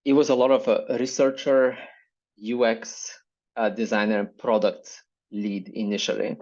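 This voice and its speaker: Bulgarian guy talking thru his startup story, lowish voice, kinda muffled lo-fi sound. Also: little pitch movement, a little slow, darkish tone. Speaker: startup founder and guest. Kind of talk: business interview.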